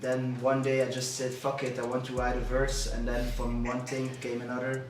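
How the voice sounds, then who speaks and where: A man's voice: mid-range voice, completely flat delivery, moderate pace. Hesitant Belgian French-accented man, rapper in an interview, echoey room. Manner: hesitant, many restarts. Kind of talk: casual interview answers. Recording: echoey room, distant mic, music behind.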